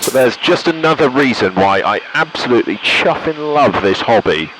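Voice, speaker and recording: A man's voice: mid-range voice, big pitch swings, normal speed, music in the background, rough recording. A British man giving a casual commentary from a light aircraft cockpit, thinking aloud and making radio calls into a headset. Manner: thinks aloud while concentrating. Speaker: pilot and vlogger.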